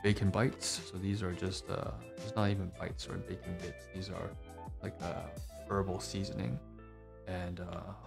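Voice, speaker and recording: A man's voice: sits low, little pitch movement, moderate pace, vocal fry. A man vlogging in a casual, digressive monologue, chatty and self-mocking. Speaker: vlogger reviewing receipts. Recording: music playing underneath.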